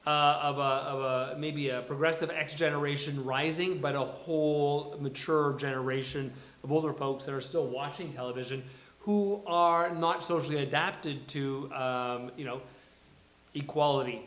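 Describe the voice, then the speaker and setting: A man's voice: fairly high pitch, fairly even intonation, moderate pace, fairly bright tone. A man hosting a casual panel chat, rambling and jokey as he goes. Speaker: host. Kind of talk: casual panel chat before a live table read. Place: live event room with a small audience. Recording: echoey room.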